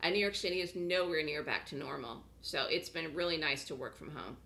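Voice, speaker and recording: A woman teaching a trading course on a webinar from her home office, chatty and slightly hoarse from a cold, checking in with "okay?" as she goes. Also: deep voice, fairly quick, bright, forceful tone. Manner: chatty, repeats phrases, frequent "okay?" check-ins. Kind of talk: webinar sales pitch.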